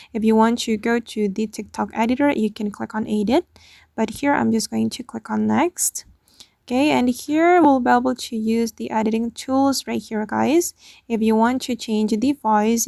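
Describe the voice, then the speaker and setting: A woman hosting a casual step-by-step tutorial, narrating what she does on screen in a creaky voice. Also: fairly high pitch, warm tone, no room sound, studio quality. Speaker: tutorial host.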